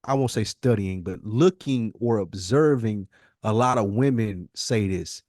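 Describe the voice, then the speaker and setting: A man's voice: fairly low pitch, measured pace, darkish tone, dry recording, studio quality. A man co-hosting a podcast in casual debate, insistent and slangy, repeating his points for emphasis. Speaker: podcast co-host.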